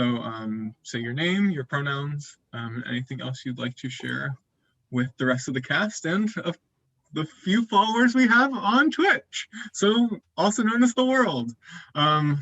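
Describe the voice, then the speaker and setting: A man hosting an online game on a video call, explaining the rules in a relaxed way with many fillers. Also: a little high, unhurried, highs cut off. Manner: relaxed, filler-heavy presenting. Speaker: game host.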